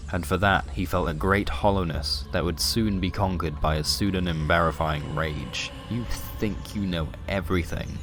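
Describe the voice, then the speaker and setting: A man's voice: deep voice, noisy background. A man reading scripted comic science fiction as a storyteller, with a wry, dramatic delivery. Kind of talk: scripted comic sci-fi narration.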